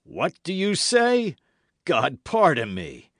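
A man voicing a haughty, indignant duke in a storybook, with an imperious, deliberate manner. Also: lowish voice, sing-song, fairly bright tone, no echo, clean studio sound, exaggerated voice. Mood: indignant disbelief. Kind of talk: dramatic audiobook character reading.

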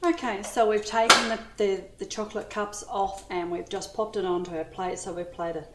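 A woman's voice: mid-range voice, steady tone, moderate pace. Chatty Australian woman doing a cooking demo. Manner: chatty, enthusiastic, addresses viewers as ladies. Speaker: cooking demonstrator. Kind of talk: cooking demonstration. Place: home kitchen studio. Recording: echoey kitchen with light noise.

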